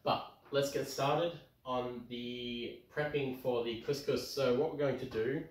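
A man in his thirties with an Australian accent, slightly croaky from illness, chatting casually through a cooking demonstration in his home kitchen with plenty of ums. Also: mid-range voice, steady tone, a little slow. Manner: chatty, upbeat, lots of ums. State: croaky from tonsillitis. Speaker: home cooking show host. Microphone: camera across the kitchen. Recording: roomy kitchen sound, far from mic.

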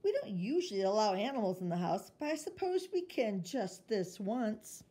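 A woman's voice: medium pitch, big pitch swings, moderate pace, mellow, husky. A woman voicing a kindly, good-humoured mother in a children's storybook, speaking in a reassuring way. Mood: gently amused. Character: gentle, good-humoured, indulgent mother of a little girl.

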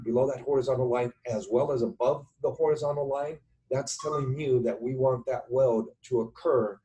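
A middle-aged man giving an informal tutorial as an instructor at his computer, halting and deliberate as he thinks aloud. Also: mid-range voice, mellow, rough recording. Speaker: structural engineering instructor. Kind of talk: informal tutorial explanation over screen share. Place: indoors at a computer, webcam.

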